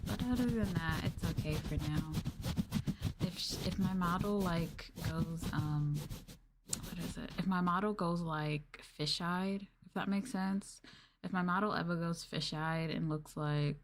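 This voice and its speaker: Slow, low-pitched, soft-spoken woman streamer. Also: slight background noise. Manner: soft, gentle talking. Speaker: VTuber streamer hosting a live stream. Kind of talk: soft-spoken ASMR casual chat.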